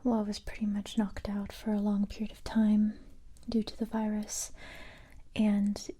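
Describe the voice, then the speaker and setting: A woman whispering softly and breathily in an ASMR roleplay as a gentle but tough special-forces recruiter, speaking in a halting, reassuring way. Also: medium pitch, completely flat delivery.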